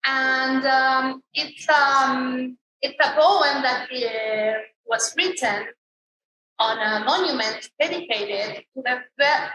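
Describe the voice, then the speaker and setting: A woman, an artist explaining her work on a gallery walkthrough in a measured way with frequent fillers. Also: high voice, pushed and bright. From Argentina. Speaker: exhibiting artist. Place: exhibition space in an airport terminal. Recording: echoey public space with crowd murmur.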